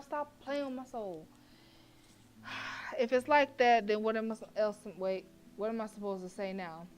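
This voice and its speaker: A woman's voice: mid-range voice, slight background noise. Chatty young woman streaming a game. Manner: expressive, laughs often, chats to viewers between read lines. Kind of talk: livestream game playthrough, reading narration aloud.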